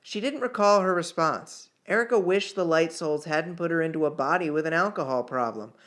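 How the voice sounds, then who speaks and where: A man's voice: fairly high pitch, a little fast, fairly bright tone. A man narrating fiction in an audiobook style, reading aloud with the odd small stumble. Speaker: author reading own novel chapter.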